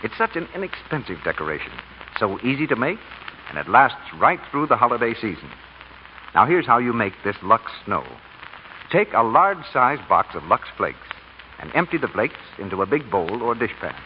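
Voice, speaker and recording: A man reading a scripted 1940s radio commercial as the announcer. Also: medium pitch. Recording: muffled old radio, faint noise.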